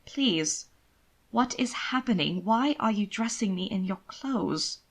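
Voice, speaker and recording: An anxious, put-upon young man of eighteen, acted by a woman storyteller. He sounds hesitant and pleading, with a very mobile pitch, in the manner of a period romance audiobook. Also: animated, slow pace. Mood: beseeching. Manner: hesitant, beseeching. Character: timid, bewildered eighteen-year-old twin, anxious and put-upon.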